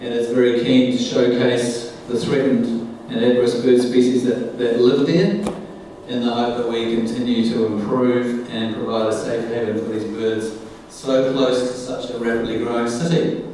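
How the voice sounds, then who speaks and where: A man introducing a guest speaker at a club meeting, talking in a measured, slow way with pauses. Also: mid-range voice, steady tone, dark tone, a little hiss or hum. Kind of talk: formal introduction. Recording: echoey hall, voice from far off.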